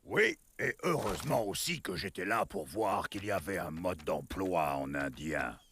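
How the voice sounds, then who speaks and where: A man voicing a smug, drawling character with a rough, gravelly voice in a French cartoon. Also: low voice, expressive, a little slow, a bit bright, a little hiss or hum, full character voice. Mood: dry, smug. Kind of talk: French animated cartoon acting.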